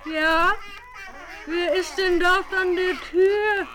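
A woman playing a frail old grandmother, very high. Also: fairly even intonation, crowd noise, full character voice. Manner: weak and slow, then scolding. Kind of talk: children's audio play.

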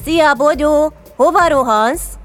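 A woman voicing an excitable, chattering little furball creature in a children's story, gabbling nervously and emphatically. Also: high voice, soft background music, pitched above the performer's own voice. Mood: cheerful greeting.

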